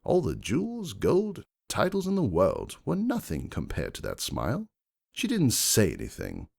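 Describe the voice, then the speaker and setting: A man's voice: mid-range voice, wildly swooping pitch, moderate pace, creaky, a little mellow. A man reading a first-person story aloud as a dramatic, scripted narration. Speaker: audiobook-style reader.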